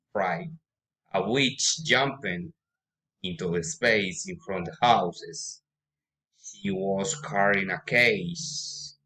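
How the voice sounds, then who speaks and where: A man doing a practice read-aloud of a children's story, haltingly, repeating words and stumbling over the text. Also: on the low side, a bit bright, rough recording. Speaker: student practising reading aloud.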